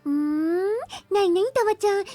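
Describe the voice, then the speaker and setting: A woman voicing an excitable, nosy, lovestruck teenage girl in a visual novel, with a playful, dramatic manner. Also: very high voice, fast talker. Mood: suspicious, playful. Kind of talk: Japanese visual novel voice acting. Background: video game music.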